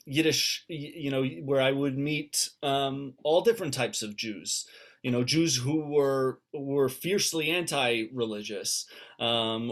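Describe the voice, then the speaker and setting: Halting American man, informal online talk. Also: medium pitch. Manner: halting, repeats and restarts phrases often. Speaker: rabbi and author giving a book talk. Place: indoors at home on a video call. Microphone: video call.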